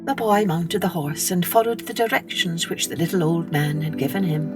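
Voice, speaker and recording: A woman narrating a scripted children's story in an expressive storytelling manner. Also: on the low side, normal speed, over music. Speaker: storytelling podcast host and narrator.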